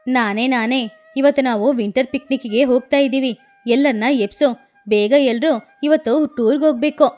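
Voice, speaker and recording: A bossy, self-assured cartoon crow lady, acted by a woman boasts and gives orders. Her voice sits lower than the storyteller's, with music behind it. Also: normal speed, a little mellow, natural voice. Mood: bossy, eager. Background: background music.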